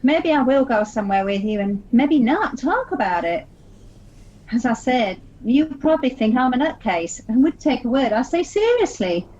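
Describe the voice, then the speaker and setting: A woman playing an emotional, tired, guarded young woman in an audio play, halting and dramatic. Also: on the high side, very animated, darkish tone, a little hiss or hum. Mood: weary, guarded. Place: recorded at home during lockdown. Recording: muffled home recording.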